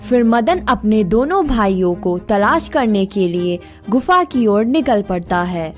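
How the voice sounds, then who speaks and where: A woman's voice: mid-range voice, expressive, measured pace, music in the background. A woman narrating a scripted moral story for children.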